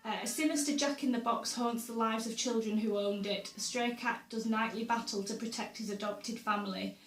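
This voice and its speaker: A young woman vlogging casually about books and her week, slightly hoarse from a sore throat, with lots of ums and small laughs. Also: mid-range voice, a little hiss or hum. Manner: chatty, with frequent ums and uhs and little laughs. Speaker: book vlogger. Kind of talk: casual chat to camera. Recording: roomy echo, voice a bit far from the mic.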